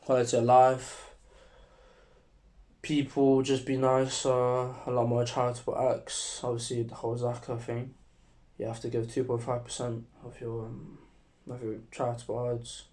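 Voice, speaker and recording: A young man with a sore, strained throat, talking casually to camera in a rambling, profane monologue while trying to keep his voice deeper. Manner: rambling, profane, relaxed and deliberately deeper delivery. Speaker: vlogger.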